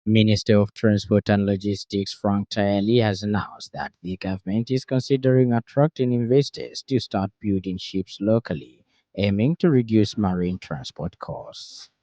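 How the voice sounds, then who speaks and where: A man's voice: lowish voice, normal speed, dark tone, no room sound, muffled recording. A man with a Zambian accent reading a scripted radio news bulletin. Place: radio studio.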